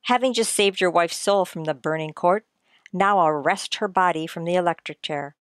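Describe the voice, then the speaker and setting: A woman's voice: fairly low pitch, smooth, no echo, close to an everyday voice. Theatrical, condescending sleuth played by a woman, close dry sound. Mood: smugly accusing. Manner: theatrical, condescending, deliberate explaining. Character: shrewd, theatrical writer-sleuth unmasking a murderer. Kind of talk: old-time radio mystery acting.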